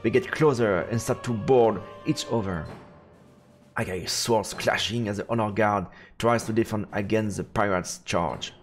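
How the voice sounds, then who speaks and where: A man's voice: on the low side, unhurried. A man narrating a fantasy visual novel aloud as a streamer, in a dramatic storybook style. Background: faint game music.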